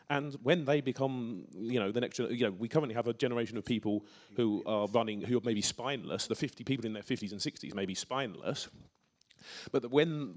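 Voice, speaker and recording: Fast-talking man asking an audience question. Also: fairly low pitch, darkish tone. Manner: rapid, urgent, rambling with restarts.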